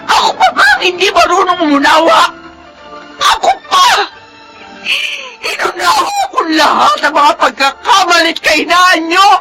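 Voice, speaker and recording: A long-suffering mother of grown children in a radio drama. Her voice is high and sweeps widely with melodramatic feeling. Music plays behind her. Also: big pitch swings, normal speed, shouting, exaggerated voice, low-quality recording. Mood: anguished outburst. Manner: emotional, melodramatic delivery. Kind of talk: Filipino radio drama acting.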